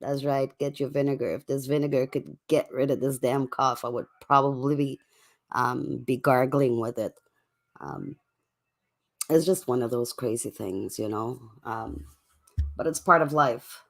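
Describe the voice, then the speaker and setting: A livestream host chatting casually and in a laid-back way to viewers late at night, with a voice going hoarse from a cough. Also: mid-range voice, fairly even intonation, a little slow. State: sick with a cough, voice getting hoarse.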